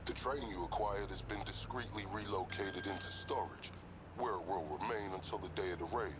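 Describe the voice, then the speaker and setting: An actor playing a calm, calculating undercover operative, giving measured, formal heist briefings in a video game cutscene. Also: male voice, low voice, heavy background noise, natural voice. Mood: businesslike, composed. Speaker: heist planner. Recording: loud music behind.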